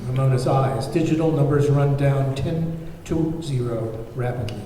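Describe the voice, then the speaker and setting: A man narrates screenplay stage directions in a mid-pitched, mellow voice. He is distant from the mic in a very echoey hall, with faint noise behind. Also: unhurried.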